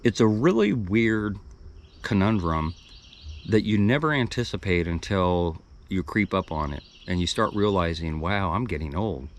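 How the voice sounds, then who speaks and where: A man in his sixties talks in a very low, deep voice. He muses aloud in a loose, rambling way, circling back and correcting himself as he shares his thoughts with viewers. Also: expressive, measured pace. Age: 60s. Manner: rambling, thoughtful, with restarts and trailing asides. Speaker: video blogger. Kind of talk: reflective casual monologue.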